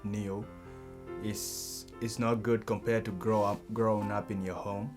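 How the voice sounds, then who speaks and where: Young male student, East African accent, deeper register, interview testimony over guitar. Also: level tone, measured pace. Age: young adult. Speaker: refugee student.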